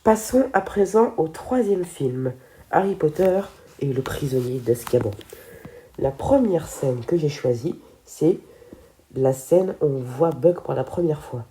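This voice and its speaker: A woman's voice: low-pitched, animated, a little slow, mellow, a little hiss or hum. A teenage girl with a stuffy head cold, chatting casually through a film video and tripping over her words. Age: teens.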